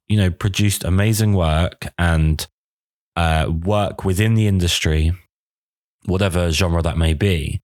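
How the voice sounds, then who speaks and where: A man's voice: low-pitched, no echo, studio quality. A man hosting a podcast interview, bunged up and nasal from a cold, chatty and self-deprecating. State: congested from a cold. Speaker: podcast host. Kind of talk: casual interview chat.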